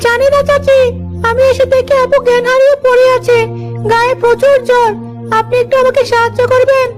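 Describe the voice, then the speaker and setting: A woman's voice: extremely high pitch, level tone, brisk. A woman voicing a caring, protective little village boy, earnest and childlike, in a cartoon fairy tale. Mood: worried. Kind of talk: cartoon moral-story dialogue. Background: loud music.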